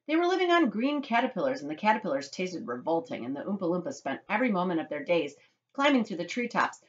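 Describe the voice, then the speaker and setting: Woman acting an excitable eccentric male factory owner, swooping intonation, emphatic, theatrical storybook voice. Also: fairly low pitch, dull audio. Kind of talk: children's storybook character voice.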